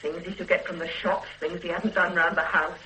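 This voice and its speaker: A woman's voice: fairly low pitch, flat delivery, brisk, sharp tone, echoey room, distant mic, slight background noise, low-quality recording. An actress playing a loyal female secretary in a radio drama, guarded and prim, defending her employer under questioning. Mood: defensive. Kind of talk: 1970s-80s radio drama acting.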